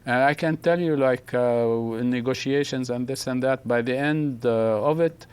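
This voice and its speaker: A man's voice: medium pitch, moderate pace, a little mellow, smooth. An older man from Palestine hosting a live TV studio panel, hesitant with many fillers but emphatic. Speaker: host.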